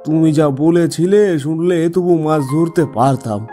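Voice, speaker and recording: A man's voice: on the high side, normal speed, mellow, faint music behind, close to an everyday voice. A man playing a greedy, arrogant, domineering village landlord in a cartoon, speaking in an accusing way. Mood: worried, regretful. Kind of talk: cartoon voice acting.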